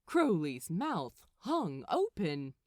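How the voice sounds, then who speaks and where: Woman narrator, slow and very expressive. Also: fairly low pitch, no echo, studio quality. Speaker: fan fiction narrator. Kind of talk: expressive scripted story narration.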